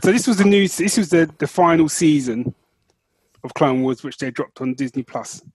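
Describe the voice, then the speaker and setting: A man's voice: fairly high pitch, animated, moderate pace, slightly rough, no echo, poor audio. A man co-hosting a podcast, chatting casually as he reviews shows, choosing his words carefully and repeating phrases for emphasis. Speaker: podcast co-host.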